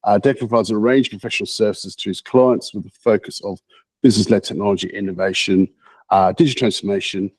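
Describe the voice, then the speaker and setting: A man hosting a meetup, introducing the guest speaker in a halting way with many repeats and restarts. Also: lowish voice, steady tone, darkish tone, husky, no echo, poor audio. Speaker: meetup host.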